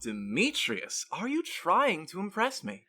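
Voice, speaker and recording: A flamboyant, playfully possessive young lover in a comedic audio drama. His bright, forward voice swings animatedly through effusive, teasing lines. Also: sits high, wildly swooping pitch, measured pace, heavily acted. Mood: teasing, jealous.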